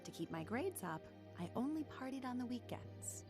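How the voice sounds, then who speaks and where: A woman's voice: fairly high pitch, big pitch swings, moderate pace. Troubled, lonely teenage girl over music. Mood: bleak. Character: troubled, lonely, cynical teenage girl telling her story. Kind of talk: audio drama acting and first-person narration.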